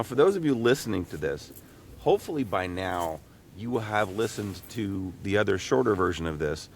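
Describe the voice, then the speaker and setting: A male podcast host with a lowish, gravelly voice, chatting casually with guests. There is clear background noise behind him. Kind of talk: casual interview chat.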